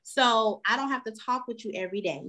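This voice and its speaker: A woman's voice: fairly high pitch, a little fast, sharp tone. A woman in her forties with an American accent, co-hosting a podcast and telling personal stories in casual, easygoing slang. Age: 40s. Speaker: podcast co-host.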